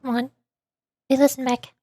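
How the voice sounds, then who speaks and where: High-voiced woman streaming a game. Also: little pitch movement, normal speed, dark tone, no echo. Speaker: streamer, VTuber. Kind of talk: live game stream, reading dialogue aloud and commenting.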